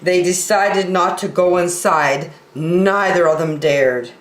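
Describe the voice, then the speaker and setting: A woman reading picture books aloud expressively for children, with measured dramatic pauses, in a roomy space. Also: lowish voice. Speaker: children's story time reader.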